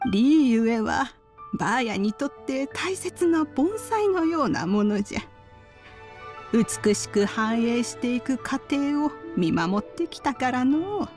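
Elderly grandmother, fairly high voice, unhurried delivery, kindly, knowing, old-fashioned phrasing, game dialogue, music behind. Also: a little mellow. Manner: slow, archaic old-person phrasing. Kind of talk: video game character dialogue. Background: background music.

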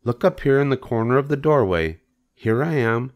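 A man's voice: mid-range voice, expressive, moderate pace, pitched above the performer's own voice, close to an everyday voice. A man voicing a small, kindly female spider in a children's storybook, thin-voiced, poised and matter-of-fact. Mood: kindly, explanatory. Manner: matter-of-fact, slightly fancy speech.

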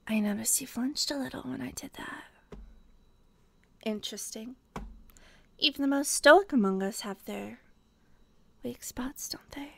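A woman playing a teasing, obsessed female detective in a whispery, deliberate ASMR roleplay. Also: sits high, slight background noise. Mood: teasing, probing. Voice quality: breathy, whispery. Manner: slow, deliberate, teasing, pausing. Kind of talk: ASMR roleplay, scripted intimate monologue.

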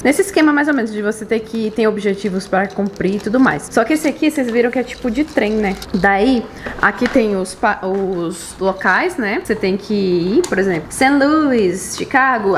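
A woman's voice: fairly high pitch, slight background noise. Bubbly Brazilian woman vlogging. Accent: Brazilian Portuguese. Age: young adult. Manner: bubbly, animated, laughs easily. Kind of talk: casual chatty vlog. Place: home kitchen and dining room, indoors. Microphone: handheld camera at arm's length. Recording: echoey room.

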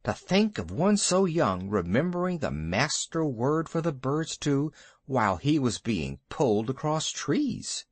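A man's voice: medium pitch, very animated, measured pace, no room sound. A man voicing Baloo, an old male bear who teaches the boy, speaking in a fatherly way. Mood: fond.

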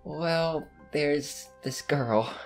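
An actor voicing a laid-back, cheeky young unicorn stallion in an animated fan dub, with a wry manner. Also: medium pitch, very slow, faint music behind. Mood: smitten.

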